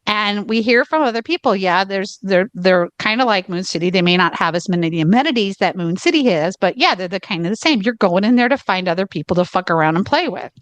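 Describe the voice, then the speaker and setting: A woman's voice: medium pitch, animated, no echo. A woman with a Southern US drawl, co-hosting a podcast and telling a long travel story in rambling casual chat, often stopping on "okay.". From United States. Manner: rambling, keeps pausing on "okay". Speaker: podcast co-host.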